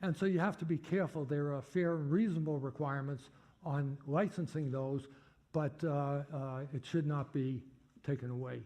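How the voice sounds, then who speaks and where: An older man with a Boston accent, answering audience questions at a lecture in a halting way with many fillers. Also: on the high side, steady tone, warm tone. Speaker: guest speaker.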